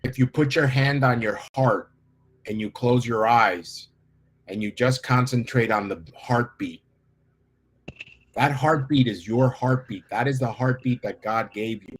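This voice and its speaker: A man's voice: mid-range voice, measured pace, husky, low-quality recording. A man in his fifties from the United States, a life coach guest speaking earnestly and inspirationally. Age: 50s. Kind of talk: interview talk.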